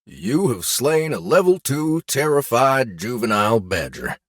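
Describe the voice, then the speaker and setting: A man's voice: mid-range voice, big pitch swings, a little slow, no echo, studio quality, voice pushed up. A man voicing an impersonal game-system announcer, giving formal, clipped notifications in a fantasy audiobook. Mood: official announcing.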